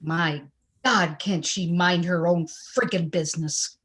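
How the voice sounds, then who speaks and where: A woman's voice: on the low side, steady tone, a little slow, a bit bright, breathy edge. A woman playing a stubborn, defensive mother of a grown son in a live play reading over a video call, indignant and exclamatory.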